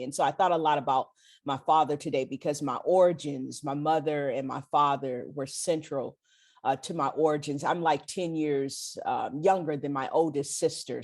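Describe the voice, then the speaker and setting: An American woman from Los Angeles speaking as an interview guest in a casual, informal, emphatic way. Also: deep voice. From Los Angeles, United States. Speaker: interview guest, professor and author.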